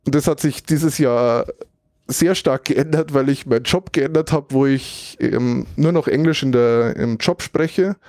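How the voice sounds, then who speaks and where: A German man talks in a loose, conversational way. He recounts things thoughtfully, rambles a little and gropes for the right word mid-sentence. His voice is dark and mellow, and the sound is dry and close with no room around it. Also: medium pitch, measured pace, no room sound. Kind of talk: casual conversational recap.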